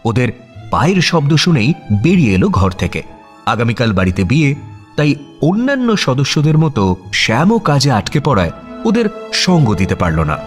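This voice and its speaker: A man's voice: mid-range voice, wildly swooping pitch, moderate pace, long pauses, faint music behind. A man narrating a scripted audio story in a dramatic storytelling style.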